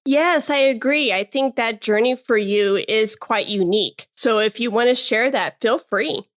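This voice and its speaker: A woman's voice: a little high, measured pace. A woman from Chicago hosting a podcast, upbeat and encouraging, laughing often with her guest. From Chicago, USA. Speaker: podcast host. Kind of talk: podcast interview.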